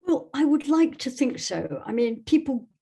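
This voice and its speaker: A woman's voice: high-pitched, sing-song, moderate pace, creaky, warm tone, breathy edge. Thoughtful woman guest from Scotland, hesitant delivery. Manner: hesitant, searching for words. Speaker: podcast guest, novelist. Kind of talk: thoughtful interview conversation.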